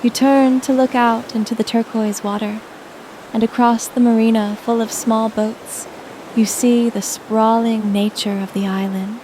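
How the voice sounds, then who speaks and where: A woman narrating a scripted guided sleep meditation in a soothing, gentle manner. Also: fairly high pitch, level tone, unhurried, slight background noise, poor audio.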